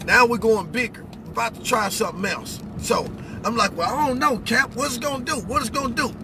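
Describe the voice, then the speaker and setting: A man's voice: very high-pitched, brisk. A middle-aged man telling personal stories with some preaching, in a colloquial, emphatic way that repeats phrases. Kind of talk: animated personal storytelling with preaching. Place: inside a pickup truck cab. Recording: noisy vehicle cabin.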